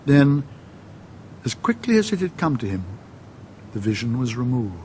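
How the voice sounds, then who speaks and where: An actor playing a solemn storyteller, giving literary narration. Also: male voice, mid-range voice, very animated, moderate pace, a little mellow, a little hiss or hum, lightly acted. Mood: hushed wonder. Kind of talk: literary scripted narration in radio drama.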